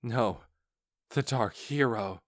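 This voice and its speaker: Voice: mid-range voice, slow pace, no echo, clean studio sound, natural voice. A man voicing an awestruck, shaken town guard in an audiobook, speaking in incredulous questions and exclamations. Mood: amazed.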